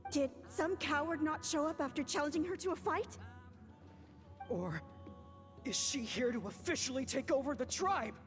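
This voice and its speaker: Jittery taunting priestess, video game acting, high voice, long reverb, faint music. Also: female voice, animated, normal speed. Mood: suspicious.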